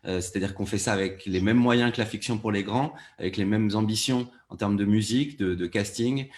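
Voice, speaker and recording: A man's voice: on the low side, brisk, darkish tone. A man giving long, structured explanations as a panelist in a conversational discussion. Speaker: panelist, audio fiction producer.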